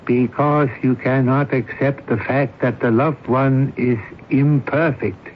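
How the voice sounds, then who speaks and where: An actor playing a coolly authoritative male doctor who questions in a deliberate, pointed way, in a 1970s radio drama. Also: mid-range voice, fairly even intonation, close to an everyday voice. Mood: probing, insistent. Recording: muffled, noisy old radio broadcast.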